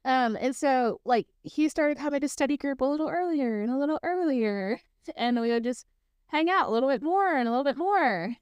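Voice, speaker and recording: A woman around thirty tells stories on her own podcast in a relaxed, rambling way, dropping "like" and "um" and laughing easily. Her voice is smooth and sits a little high. The sound is dry and close, with no room around it. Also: brisk, dry recording, highs cut off. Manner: chatty, fills speech with "like" and "um"; laughs easily while talking. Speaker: podcast host. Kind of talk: casual solo storytelling.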